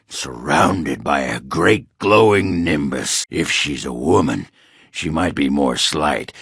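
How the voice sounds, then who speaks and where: An actor voicing a gruff, hard-drinking, sardonic dwarf warrior in a video game, blunt and crude, with a rough, gravelly voice. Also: male voice, a bass voice, pushed and bright, no echo, clean studio sound, full character voice. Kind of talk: video game character dialogue.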